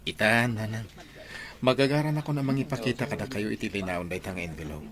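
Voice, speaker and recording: A man's voice: lowish voice, fairly quick, a crowd in the background, close to an everyday voice. A man with an Ilocano accent acting a devoted, earnest grown son in a radio drama. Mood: warm, eager.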